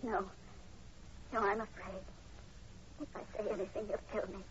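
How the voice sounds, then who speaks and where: A woman's voice: mid-range voice, level tone, normal speed, natural voice. An actress playing a frightened, pleading young woman held captive in a 1940s radio horror drama. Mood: terrified, pleading. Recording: muffled, noisy 1940s radio recording.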